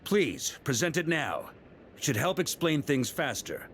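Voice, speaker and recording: Cast a confident knight leader with an animated, commanding delivery. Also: male voice, fairly high pitch, a little hiss or hum. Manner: measured, authoritative explanations. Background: game music.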